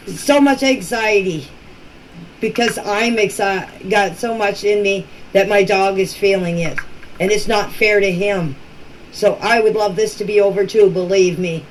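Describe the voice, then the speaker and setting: Middle aged lady leaving blunt insistent voice messages, repeats herself, bit of noise on the recording. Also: mid-range voice. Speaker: local resident answering questions in a group chat. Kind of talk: informal voice messages. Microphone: voice messages recorded for a group chat. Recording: noisy amateur voice-message clips.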